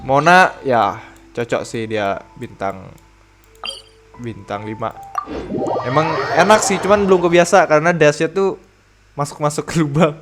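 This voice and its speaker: A young Indonesian man giving casual, slangy, excitable gameplay commentary. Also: fairly high pitch, normal speed, long pauses. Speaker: gaming content creator. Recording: loud game music behind voice. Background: loud game music.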